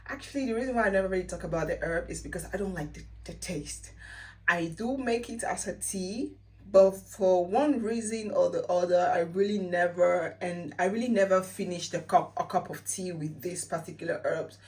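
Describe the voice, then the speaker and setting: A woman's voice: fairly low pitch, normal speed, darkish tone, distant mic, rough recording. A woman with a Sierra Leonean accent chatting casually as a vlogger, repeating phrases for emphasis. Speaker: lifestyle vlogger. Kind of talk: casual chatty home-remedy vlog.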